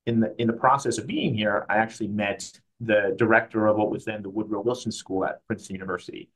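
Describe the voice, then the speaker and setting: Man, fast talker, conversational, self-correcting, interview guest. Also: on the low side, rough recording. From Mexico. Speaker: guest, private client advisor.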